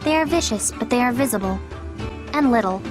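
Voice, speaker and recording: A woman playing a sardonic, bossy, easily bored young female spirit in an animated game, sharp-tongued and teasing. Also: a little high, fairly quick, music in the background, close to an everyday voice. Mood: dry. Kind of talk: animated game cutscene acting.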